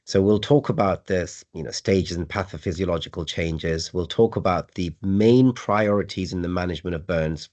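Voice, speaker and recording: A man, a critical care consultant, giving a measured medical lecture and repeating words while he thinks. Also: low-pitched, darkish tone. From United Kingdom.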